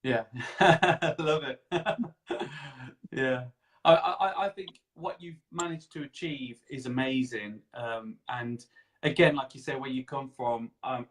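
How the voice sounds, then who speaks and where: A man hosting a casual interview, effusive and rambling, often repeating his phrases as he chats. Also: mid-range voice. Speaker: interview show host.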